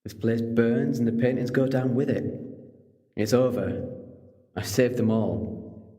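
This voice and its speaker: A man playing a confident, sharp-tongued time-travelling hero in an audio drama, sardonic and self-assured. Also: mid-range voice, a little slow, husky. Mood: brisk, confident. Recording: long hall-like reverb.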